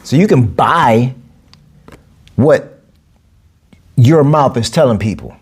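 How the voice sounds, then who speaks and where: A man's voice: lively intonation, slow pace, husky. Guy in his 40s, coach rambling on his podcast, punchy, repeats himself a lot, voice jumps up when he makes a point. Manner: emphatic, repeats phrases, big pitch jumps for emphasis. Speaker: podcast host, boxing and capoeira coach. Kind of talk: casual solo podcast monologue.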